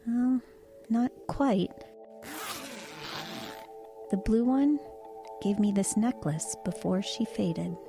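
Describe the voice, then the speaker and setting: A woman's voice: mid-range voice, animated, takes it slowly, long pauses, over music. An actress playing a matter-of-fact woman truck driver in an audio drama, recounting eerie visions in a hesitant, conversational way. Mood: quiet, wistful.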